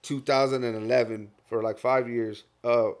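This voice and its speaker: A Texan man chatting as a podcast guest, his voice sitting at an ordinary middle pitch. He tells long stories at an easy pace, swears often and fills gaps with "like" and "you know what I mean.". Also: little pitch movement, normal speed, clean tone. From Texas. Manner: laid-back, profane storytelling with lots of fillers. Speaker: guest rapper. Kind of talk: casual podcast chat.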